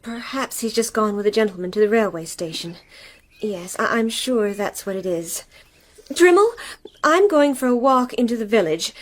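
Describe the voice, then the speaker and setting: A woman's voice: fairly high pitch, animated, moderate pace, natural voice. A woman acting a genteel, anxious wife and mistress of a country house in a radio play, polite but commanding with servants. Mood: puzzled, anxious.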